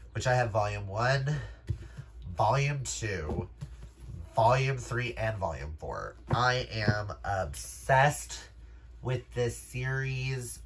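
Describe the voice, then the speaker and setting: Male book vlogger, lowish voice, emphatic and gushing casual delivery, noticeable background noise. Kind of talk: casual chat to viewers. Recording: noisy room background.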